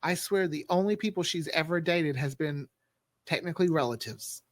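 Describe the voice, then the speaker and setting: Chatty, higher-voiced man in casual conversation. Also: moderate pace, no echo. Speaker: writer for an audio drama. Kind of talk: casual behind-the-scenes group chat.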